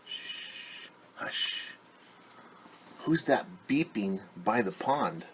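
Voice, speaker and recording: A man voicing an anxious mother from a storybook, pleading in a sing-song, drawn-out way with hushed shushing for quiet around her baby. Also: mid-range voice, animated, slight background noise. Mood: hushed, wary. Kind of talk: storybook read-aloud, rhythmic refrain.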